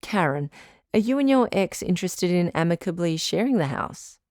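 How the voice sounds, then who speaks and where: An actress playing a patient woman advice-show doctor in an audio drama, measured, tactful and reassuring. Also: lowish voice, lively intonation, a little mellow, dry recording, studio quality, natural voice. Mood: calm, tactful.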